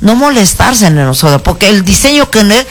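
A woman from Peru whose first language is Shipibo, speaking Spanish with a Shipibo accent as a guest in a radio interview. She talks in an earnest, halting way, with many restarts and "¿no?" tags. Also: mid-range voice, very animated. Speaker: artisan association president, guest.

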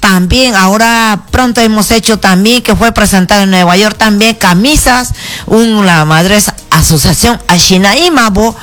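A woman from Peru whose first language is Shipibo, speaking Spanish with a Shipibo accent as a guest in a radio interview. She talks in an earnest, halting way, with many restarts and "¿no?" tags. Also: medium pitch, a bit bright. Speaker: artisan association president, guest.

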